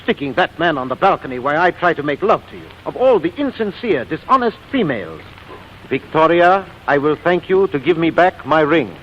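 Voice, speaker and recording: A man's voice: on the high side, big pitch swings, a little fast. An actor playing an indignant, pompous man in a 1940s radio comedy, with precise, theatrical delivery. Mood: indignant, sarcastic. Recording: dull, hissy 1940s radio broadcast recording.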